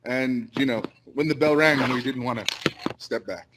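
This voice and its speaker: American man speaking in halting phrases. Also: mid-range voice, background noise. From United States. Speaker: invited guest on a panel. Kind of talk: earnest, unscripted panel talk.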